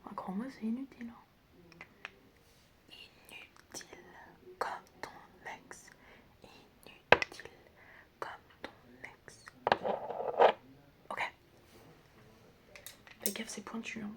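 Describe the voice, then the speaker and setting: A woman doing an ASMR roleplay in a breathy whisper mixed with soft speech, teasing and chatty as she addresses the listener like a close friend. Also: on the high side, steady tone, slow, pauses often. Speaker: ASMR creator role-playing as a doctor and best friend. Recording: clear background noise with clicking sounds.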